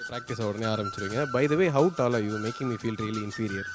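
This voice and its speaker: A man's voice: lowish voice, moderate pace. A man with a Tamil accent hosting a talent show, interviewing a contestant in an encouraging, congratulatory way. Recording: music behind the voice.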